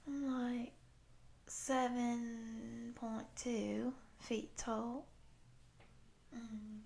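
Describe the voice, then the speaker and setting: The big, kindly horned cowgirl you'd meet in an audio roleplay as a woman acts her out, speaking slowly and a little high, soft and affectionate. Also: vocal fry. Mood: reassuring, friendly. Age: 30s. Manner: soft, apologetic, affectionate, folksy. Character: tall, gentle, friendly cowgirl monster of thirty-six. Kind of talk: intimate roleplay addressed to the listener.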